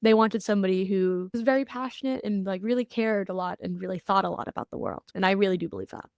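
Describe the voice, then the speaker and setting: A young woman, a college counselor, talking in a relaxed interview, thoughtful and earnest with lively bursts. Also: mid-range voice, fast, clean tone, dry recording, clean studio sound. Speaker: admissions counselor, guest. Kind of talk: casual interview.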